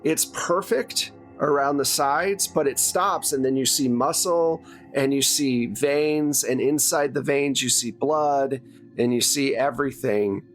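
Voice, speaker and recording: A man narrating a horror tabletop roleplaying game as game master, in a deliberate, descriptive way. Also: medium pitch. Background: background music.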